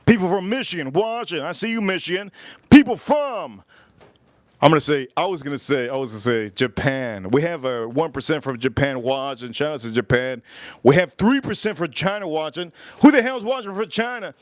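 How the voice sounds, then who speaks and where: A man hosting a casual livestream from Florida, animated as he shouts out viewers, and a little sick. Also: on the high side, normal speed, no echo. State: getting sick. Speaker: livestream host.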